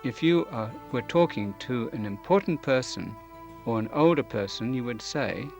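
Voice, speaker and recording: Older guy narrating a teaching video, calm clear delivery, faint music behind. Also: medium pitch. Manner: clear, measured explaining. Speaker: presenter. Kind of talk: scripted educational narration. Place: studio, dark curtain behind.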